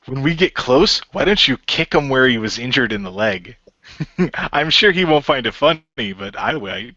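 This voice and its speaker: A man's voice: medium pitch, animated, normal speed, sharp tone, dry recording, dull audio, voice pushed up. A man voicing a cocky, playful shapeshifting genie hero in an audio roleplay, taunting and joking as he goes. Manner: taunting, jokey.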